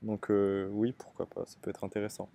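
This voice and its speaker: A young man with a Parisian French accent answers interview questions about his life and studies. He speaks thoughtfully, pausing often with fillers. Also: lowish voice, monotone, gravelly, warm tone. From Paris area, France. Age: young adult. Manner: thoughtful, hesitant, frequent fillers. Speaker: interviewee, student.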